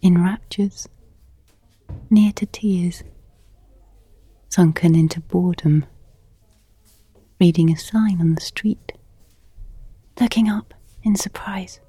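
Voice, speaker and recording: Female narrator, intimate literary audio piece. Mellow, slightly low voice, hushed and measured. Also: fairly even intonation, breathy edge, no room sound. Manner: slow, hushed, measured delivery, often near whispering. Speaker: narrator and performer of an audio playlet.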